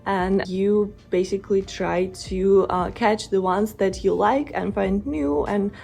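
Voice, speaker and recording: A woman's voice: mid-range voice, fairly even intonation, slow pace, creaky, mellow. A woman with a Russian accent, a native Russian speaker, talking to camera in earnest, encouraging tones as a language-learning vlogger. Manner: earnest, encouraging, direct address to viewers. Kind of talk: motivational talk to camera.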